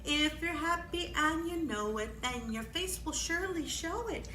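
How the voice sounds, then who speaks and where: A woman's voice: high-pitched, animated, measured pace, sharp tone. A woman hosting a storytime for young children, encouraging and exclamatory, prompting her listeners with questions during songs and guessing games. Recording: echoey room, faint background noise.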